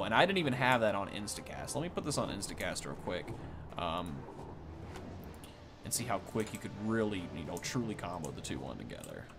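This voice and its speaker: Male gaming creator, lowish voice, informal unscripted commentary, with music clearly playing underneath. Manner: informal, thinking aloud with hedges. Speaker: gaming content creator giving a first look. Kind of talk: casual off-the-cuff game commentary.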